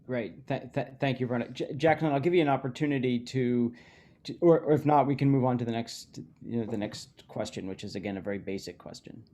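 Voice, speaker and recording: A man's voice: medium pitch, a little fast, dark tone. A man moderating a panel discussion, stammering a little as he hands over the floor. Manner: stammering, trailing off.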